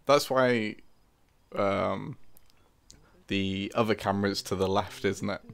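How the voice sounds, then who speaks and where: Creaky-voiced man, hesitant and chatty. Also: on the low side, fairly even intonation, takes it slowly. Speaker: puppet show co-creator giving audio commentary. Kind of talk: casual commentary chat.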